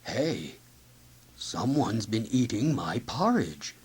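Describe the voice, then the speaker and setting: A woman acts out a big papa bear for a children's story. He is gruff but friendly, speaking slowly with wildly varied pitch. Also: big pitch swings, a little mellow, exaggerated voice. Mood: grumbly surprise.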